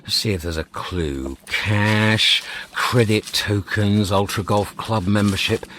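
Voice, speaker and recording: A man playing an excitable, sarcastic space adventurer in a radio comedy, brisk and colloquial. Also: lowish voice, a little hiss or hum. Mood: wry, musing.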